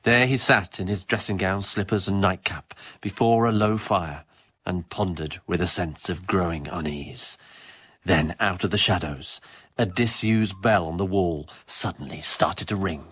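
Husky man narrating a radio play. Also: sits low, a little slow, a bit bright, dry recording. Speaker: performer, narrator.

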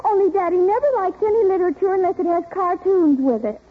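Very high-pitched, dramatic teenage girl. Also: lively intonation, quick pace. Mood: anxious. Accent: American. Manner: earnest, girlish, flustered. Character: stagestruck, dramatic teenage daughter, pleading and girlish. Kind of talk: 1940s radio sitcom acting. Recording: tinny, faintly noisy old radio recording.

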